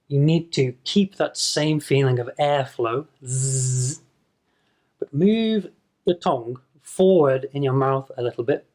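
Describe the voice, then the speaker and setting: Slow, patient male English teacher. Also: a little high, a little mellow. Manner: patient, demonstrates sounds slowly and repeats them. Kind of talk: slow explanatory video lesson to camera.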